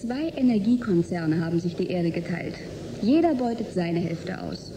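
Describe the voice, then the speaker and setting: A young woman playing a cheeky, sharp-tongued nineteen-year-old in a German radio drama, pert and mocking. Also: on the low side, expressive, normal speed, noisy background, close to an everyday voice. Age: young adult.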